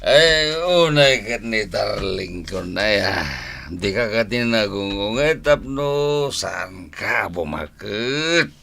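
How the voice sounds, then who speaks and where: A man's voice: lowish voice, lively intonation, slow pace, creaky, pushed and bright, full character voice. A man with an Ilocano accent playing an easygoing, hard-drinking farmer husband in a comedy radio drama, grunting, sighing and chuckling as he wheedles. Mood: whining. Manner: drawling, full of grunts, sighs and chuckles.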